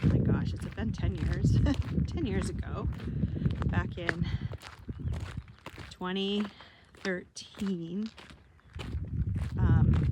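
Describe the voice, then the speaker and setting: A woman's voice: lowish voice, very noisy. A woman in her fifties, vlogging while walking outdoors and reminiscing in a rambling way, often correcting herself. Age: 50s. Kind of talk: casual walking vlog. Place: outdoors in the desert.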